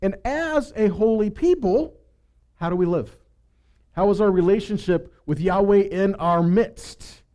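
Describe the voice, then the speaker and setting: A man's voice: high-pitched, measured pace, dark tone. A man giving a conversational sermon as a preacher, teaching with rhetorical questions and frequent check-in tags.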